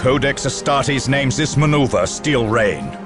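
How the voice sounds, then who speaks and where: A man's voice: mid-range voice, animated, measured pace, loud music behind the voice, close to an everyday voice. An actor playing a zealous male space marine commander in a video game, giving a grandiose, emphatic, declamatory battle speech. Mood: resolute, proud. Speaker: military commander in a game cutscene.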